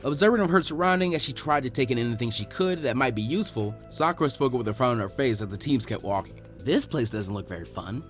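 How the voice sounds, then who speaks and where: A man's voice: mid-range voice, animated, fast, hardly any pauses, a bit bright, soft background music. A man reading scripted fan fiction aloud as its narrator.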